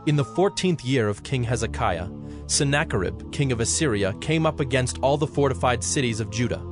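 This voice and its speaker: A man narrating scripture from a script in a solemn, measured manner. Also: medium pitch, lively intonation, over music.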